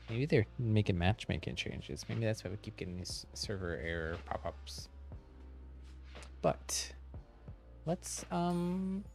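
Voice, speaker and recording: A man's voice: lowish voice, creaky, a little mellow. A man chatting casually as he streams a video game, rambling and thinking aloud. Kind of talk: casual livestream chat while playing.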